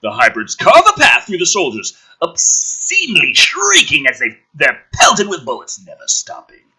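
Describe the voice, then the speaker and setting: A man doing a dramatic script reading with sarcastic, sweary commentary, swinging between hushed narration and exasperated ranting. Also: a little high, huge pitch swings, normal speed, slightly rough, rough recording. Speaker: host of a gaming and commentary channel.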